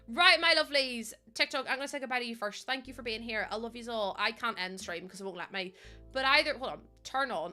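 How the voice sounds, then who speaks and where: A woman's voice: fairly high pitch, pushed and bright. A young woman with a Northern Irish accent chatting on a live stream, giggly and chatty, using local slang. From Northern Ireland. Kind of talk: casual chat with live chat. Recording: faint music behind.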